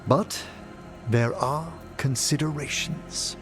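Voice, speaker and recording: An actor playing a courteous older necromancer scholar in a video game, speaking formally and with measured, wistful erudition. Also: male voice, mid-range voice, lightly acted. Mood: earnest, proud. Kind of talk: dramatic video game dialogue. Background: game music.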